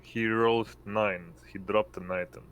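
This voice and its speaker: A man's voice: lowish voice, fairly even intonation, measured pace, a bit bright, slight background noise. A man chatting casually as a player in a tabletop roleplaying game.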